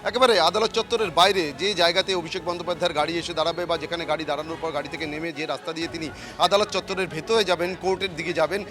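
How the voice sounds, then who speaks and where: A male field reporter speaks quickly in a high voice. He has a West Bengal accent and gives a live outdoor news report. His explanation runs on in long, repetitive sentences. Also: fairly even intonation. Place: outdoors in a city, outside a courthouse.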